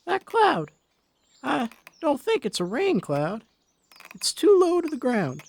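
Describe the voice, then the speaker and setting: A man voicing a frail, anxious elderly wife with a creaky voice in an audio story. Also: very high-pitched, huge pitch swings, slow, voice pushed up, heavily acted.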